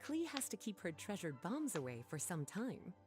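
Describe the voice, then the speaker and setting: An actress voicing a relaxed, gently teasing adult librarian in a video game, speaking in an unhurried, languid way. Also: female voice, on the low side, music in the background.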